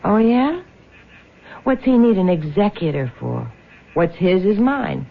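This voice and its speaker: Tough, sarcastic low-voiced American woman. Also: big pitch swings, unhurried, gravelly, heavily acted. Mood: suspicious, combative. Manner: blunt, slangy, taunting. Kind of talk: 1970s radio drama acting. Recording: muffled vintage radio recording.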